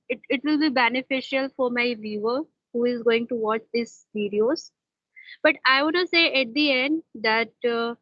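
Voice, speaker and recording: A woman's voice: on the high side, no room sound, highs cut off. A woman with an Indian accent who hosts an online English practice talk, speaking hesitantly with many fillers and restarts. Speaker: host interviewing a fellow learner.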